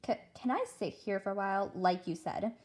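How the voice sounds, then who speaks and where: A woman voicing an anxious, self-conscious seventh-grade girl in a read-aloud story, speaking in a halting, dramatic way. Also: medium pitch, a little mellow, lightly acted. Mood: hesitant.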